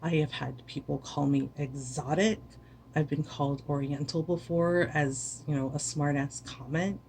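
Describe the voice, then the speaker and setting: A woman's voice: deep voice, fairly even intonation, unhurried, darkish tone, slight background noise. A weary woman streamer giving an earnest, impassioned speech to camera, repeating words for stress. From United States.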